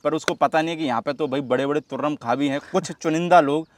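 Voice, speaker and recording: A man with a fairly high voice. He talks casually as an interviewer, pressing his opinions with emphasis. The sound is dry and close. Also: fast, no room sound. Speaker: interviewer, host. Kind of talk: casual conversational interview in colloquial speech.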